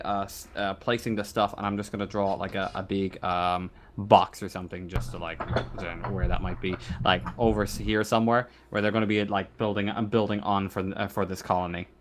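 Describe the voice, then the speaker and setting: Low-voiced man, halting game master. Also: flat delivery. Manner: halting, searching for words. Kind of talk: improvised narration of a tabletop roleplaying game.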